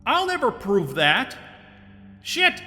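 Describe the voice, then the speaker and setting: A man's voice: very high voice, wildly swooping pitch, slow pace, bright, forceful tone, big-room sound, soft background music. A man playing a weary, sarcastic and short-tempered lead, talking in an audio drama. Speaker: lead character.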